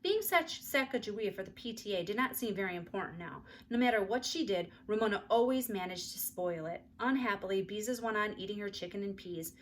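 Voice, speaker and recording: Female read-aloud storyteller, mid-pitched, expressive children's narration, faint background noise. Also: brisk, hardly any pauses.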